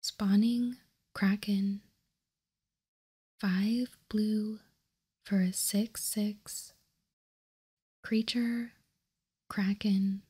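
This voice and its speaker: Softly whispering woman, slow and breathy. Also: mid-range voice. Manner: soft, slow, measured, calming. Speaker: host of a card ASMR channel. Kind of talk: ASMR, reading and commenting on trading cards.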